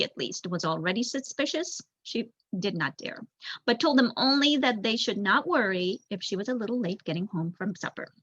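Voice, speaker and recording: A woman's voice: fairly high pitch, sharp tone, no room sound. A young adult woman reading a children's novel aloud as a storyteller, on a dull recording. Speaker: volunteer story reader, student.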